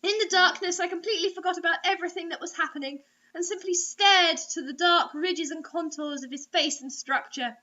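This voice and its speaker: A woman with a bright, very high voice hosts a story reading. She delivers it theatrically and drops in sarcastic, mocking comments. The recording is dull and lo-fi. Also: a little fast. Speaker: host reading bad online fiction aloud.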